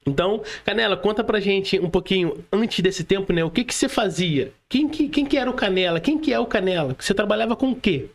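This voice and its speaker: A man's voice: sits high, animated, a little fast, hardly any pauses. A man with a Brazilian accent hosting a casual interview, asking short questions and tossing in slangy reactions. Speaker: podcast host.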